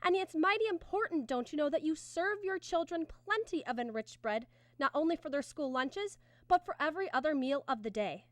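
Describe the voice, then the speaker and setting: High-voiced woman reading a vintage radio commercial. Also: animated, a little fast, dry recording. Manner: earnest, emphatic sales pitch. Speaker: radio sponsor announcer. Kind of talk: scripted 1950s radio advertisement.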